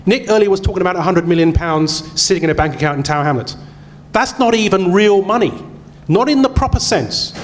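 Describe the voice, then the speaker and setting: A man's voice: high voice, expressive, brisk. A man answering as a parliamentary candidate, urgent and emphatic. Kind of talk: debate answer at a hustings.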